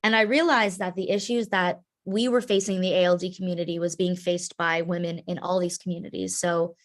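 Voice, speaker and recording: A woman's voice: fairly low pitch, steady tone, a little fast. A young woman speaking earnestly in a webinar, conversational with frequent ums. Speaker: carrier advocate, organization founder. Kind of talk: webinar talk.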